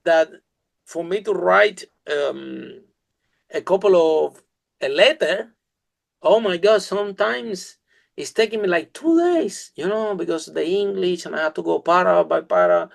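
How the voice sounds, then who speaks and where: A man with a Spanish accent, Spanish as his first language, hosting a podcast interview in an enthusiastic, halting way with many fillers. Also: high voice, creaky. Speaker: podcast host. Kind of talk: casual interview chat.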